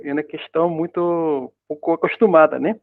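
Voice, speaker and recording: A man with a Spanish accent that is mixed with a Brazilian one gives an informal online lecture in Portuguese, keeps adding little tag questions, and often restarts his words. His voice is fairly high and gravelly. The sound is dry and thin, like a phone call. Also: fairly even intonation, normal speed, no echo. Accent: Spanish-accented Portuguese, partly Brazilian. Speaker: guest lecturer. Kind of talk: academic web conference talk. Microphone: video call. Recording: dry tinny video call audio.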